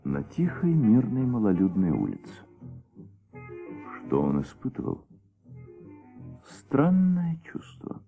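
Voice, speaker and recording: An actor narrating a detective radio play in a measured, suspenseful, dramatic way on an old recording. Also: male voice, mid-range voice, huge pitch swings, vocal fry, phone-quality sound, soft background music, exaggerated voice. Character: thoughtful male storyteller of a detective tale.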